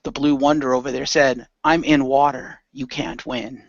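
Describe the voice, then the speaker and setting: A man plays a smug sea god villain in an audio roleplay. The voice is mid-pitched and taunting, with unhurried menace. The sound is very dry and close. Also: steady tone, no room sound, dull audio, natural voice.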